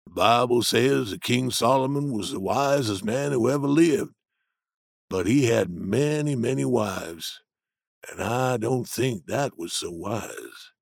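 A man reading an audiobook, voicing a stubborn grown man who argues slowly and insistently from common sense. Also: mid-range voice, lively intonation, creaky, slightly rough, no echo, studio quality, pitched below the performer's own voice, heavily acted. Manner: slow, insistent, arguing.

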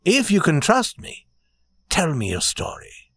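Man playing a grand, high-pitched, kindly prince. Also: huge pitch swings, a little slow, fairly bright tone, breathy edge, dry recording, clean studio sound, heavily acted. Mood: gentle, persuasive. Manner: grand, earnest, philosophical pronouncements. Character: proud, kindly, commanding prince in torn clothes.

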